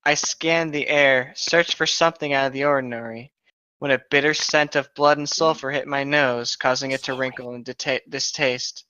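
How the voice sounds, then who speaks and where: Bright-voiced man reading a story. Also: medium pitch, fairly even intonation, normal speed, clear, resonant tone, no room sound, dull audio. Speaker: co-host of a comedic fan fiction reading show. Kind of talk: casual story read-aloud.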